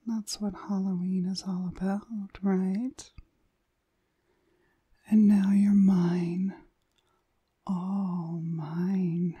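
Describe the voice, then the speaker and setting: A seductive witch, played by a woman, whispers extremely slowly in a very breathy, lulling voice. She is commanding and hypnotic, keeping her tone level and deliberate, as in an intimate ASMR roleplay. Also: medium pitch, level tone, mellow, dry recording, exaggerated voice. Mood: possessive, enchanting.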